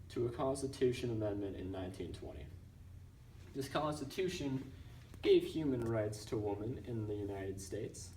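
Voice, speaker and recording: A man's voice: mid-range voice, mellow. Young guy reading out a class news report kinda stiffly, mic far off, echoey. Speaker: student news presenter in a class project. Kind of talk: scripted narration read as a newscast. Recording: roomy, distant mic with background noise.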